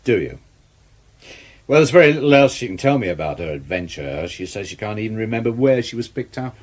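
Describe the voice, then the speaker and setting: Low, rough-edged British police detective. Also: male voice, very animated, a little fast, a little mellow. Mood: sceptical, needling. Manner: dry, pointed questioning. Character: sceptical, brusque, needling male police detective. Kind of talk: British radio drama acting.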